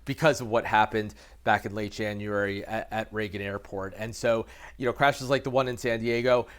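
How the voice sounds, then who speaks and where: An American man speaking as an expert guest in an interview, explaining haltingly with repeated words and careful qualifications. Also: lowish voice, steady tone, clean tone. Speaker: expert guest, aviation reporter. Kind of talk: conversational interview analysis.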